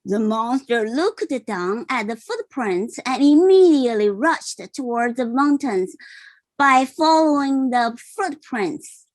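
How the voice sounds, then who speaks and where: Slow, high-voiced woman reading a storybook. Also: animated. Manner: simple, explanatory, checks in with listeners. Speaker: parent reading a picture book aloud. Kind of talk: read-aloud storytelling with asides.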